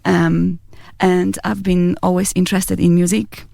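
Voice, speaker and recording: A woman in her thirties from Poland with a Polish accent, a singer-songwriter answering interview questions softly in a small radio studio on a boom mic. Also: level tone, unhurried, creaky, dry recording. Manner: soft-spoken, laughs often, hesitant fillers. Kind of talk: casual interview chat.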